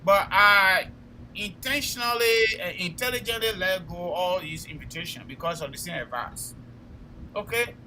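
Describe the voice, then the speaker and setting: High, sharp-voiced Liberian man, emphatic. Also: normal speed, a little hiss or hum, rough recording. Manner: emphatic, exhorting, repeats phrases. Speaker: co-host of a political talk show. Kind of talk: impassioned political commentary.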